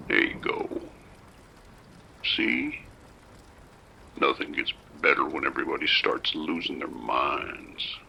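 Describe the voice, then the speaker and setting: Creepy whispery kidnapper in an audio drama, a man doing the voice, super low gravelly drawl, slow and sing-songy, sharp bright edge to it. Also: slight background noise, exaggerated voice, rough recording. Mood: coaxing menace. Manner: slow, coaxing and unhurried. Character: creepy soft-spoken male abductor, calm and menacing.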